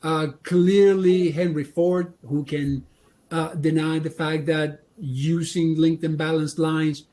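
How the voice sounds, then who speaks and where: Man presenting with halting restarts. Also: on the high side, level tone, mellow. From Argentina. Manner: halting, many restarts and fillers. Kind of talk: training presentation.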